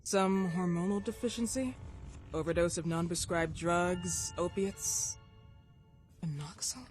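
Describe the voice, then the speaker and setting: A woman's voice: fairly low pitch, a little slow, a little mellow, soft background music, natural voice. An actress playing a poised, persistent woman doctor in a video game drama, polite, formal and inquisitive. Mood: probing.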